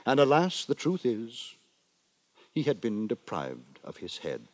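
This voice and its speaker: A man playing a pompous, wry gentleman narrator in a 1950s radio drama, telling his tale with ornate, mock-solemn deliberation. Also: medium pitch, huge pitch swings, unhurried, no echo. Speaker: narrator and lead actor. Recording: dry 1950s radio with music.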